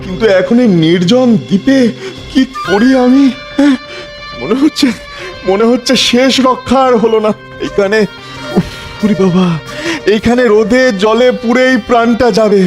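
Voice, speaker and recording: Man playing very high-pitched, fretful cartoon servant. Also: moderate pace. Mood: weary, anxious. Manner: pleading, breathless repetition of phrases. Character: humble, loyal freed male servant, earnest and fretful. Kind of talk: melodramatic cartoon voice acting. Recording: prominent music behind the voice.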